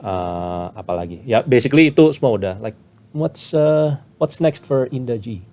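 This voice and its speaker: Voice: mid-range voice, animated, normal speed, warm tone. A podcast host chatting casually, switching between English and Indonesian, often hesitating and restarting words.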